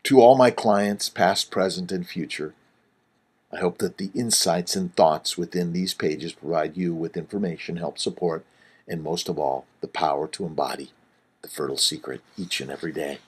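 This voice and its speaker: A middle-aged man, a doctor, reading his own book aloud in an earnest, emphatic way, with wide swings in loudness. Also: low voice, fairly even intonation, moderate pace, slightly rough. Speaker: fertility doctor and author.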